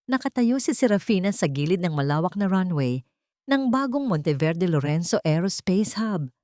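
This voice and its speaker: A woman narrating a scripted love story in a dramatic, measured and solemn storytelling style. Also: on the low side, darkish tone, no room sound, clean studio sound.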